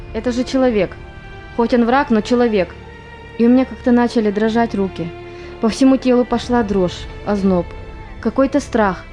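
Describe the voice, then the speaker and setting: Woman acting a mellow-voiced female sniper. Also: a little high, lightly acted. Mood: troubled. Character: sober female war sniper recalling her first hunt. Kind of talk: amateur audio play monologue.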